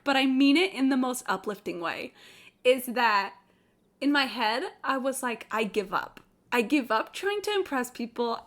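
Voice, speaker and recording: High, bright, animated woman streamer. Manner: sweary, candid, joking. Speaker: live game streamer. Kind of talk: casual livestream chat, commentary.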